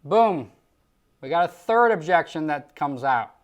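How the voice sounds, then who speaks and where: A man's voice: fairly high pitch, animated, unhurried. Emphatic male sales coach. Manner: emphatic, rhetorical, coaching delivery. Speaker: Medicare sales trainer and host. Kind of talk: instructional commentary on a sales call, acting out example lines.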